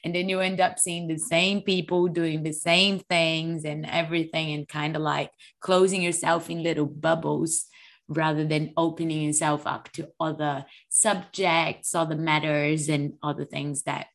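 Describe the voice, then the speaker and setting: A woman co-hosting a fitness podcast in casual chat, sounding a little fluey and telling stories in an animated way. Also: sits low, a little slow, gravelly. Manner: chatty, animated storytelling. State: a little hoarse with flu. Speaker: podcast co-host; online fitness coach.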